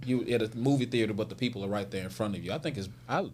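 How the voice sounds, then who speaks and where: A man's voice: on the low side, very fast, darkish tone, a little hiss or hum. An American man talking casually as an interview guest, enthusiastic and emphatic about his work.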